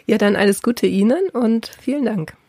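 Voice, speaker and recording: A woman's voice: on the high side, expressive, normal speed, mellow, husky, no room sound, clean studio sound. A woman hosting a podcast, interviewing and addressing listeners in a conversational style.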